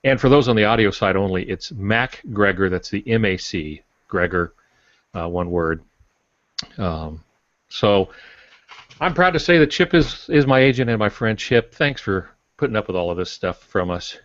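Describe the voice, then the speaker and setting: An older man co-hosting a podcast, speaking informally and haltingly with chatty asides. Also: lowish voice, expressive, dry recording. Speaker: podcast co-host, author. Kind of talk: informal guest introduction. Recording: dull, dry lo-fi audio.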